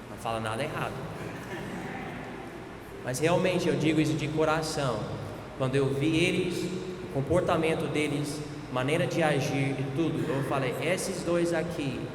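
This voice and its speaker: A man with an American accent, the host pastor, introducing a guest preacher in a friendly, joking way. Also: a little high. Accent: American-accented Portuguese. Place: church hall. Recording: echoey hall with reverb and background noise.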